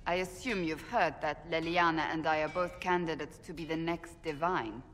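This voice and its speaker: A woman playing a stern, devout warrior in a fantasy game, speaking formally and with measured, blunt earnestness. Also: sits low, fairly bright tone. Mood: guarded, dry. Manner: formal, measured, deliberate phrasing. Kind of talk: dramatic video game dialogue.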